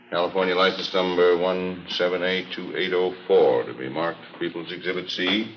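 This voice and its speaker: An actor playing a courteous, methodical prosecutor in an old radio courtroom drama, asking questions in a formal, deliberate manner. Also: male voice, low voice, steady tone, close to an everyday voice. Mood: formal, businesslike. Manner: formal, deliberate questioning with throat-clearing and hesitations. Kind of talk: 1950s radio drama acting. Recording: muffled, noisy old radio recording.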